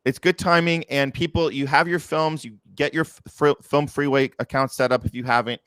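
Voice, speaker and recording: A man's voice: fairly high pitch, fairly even intonation, normal speed, fairly bright tone, clear, resonant tone, no echo. A man speaking casually as a co-host and festival organizer. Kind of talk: casual chat.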